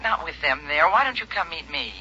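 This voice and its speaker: An actress playing a guarded young widow, speaking urgently over a telephone in an old radio drama. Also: female voice, sits low, expressive, a little fast, pushed and bright, breathy edge, full character voice. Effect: telephone filter. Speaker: murder suspect calling the detective. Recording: dull old radio recording.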